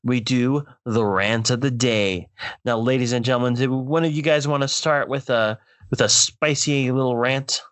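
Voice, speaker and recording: A man's voice: mid-range voice, fairly even intonation, normal speed, a bit bright. A man hosting a casual talk show and giving opinionated takes. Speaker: host.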